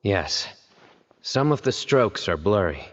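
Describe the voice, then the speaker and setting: A man playing a stern, composed investigator of high rank in a video game, deadpan and measured. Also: dull audio, slight background noise. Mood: serious. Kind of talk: video game character acting.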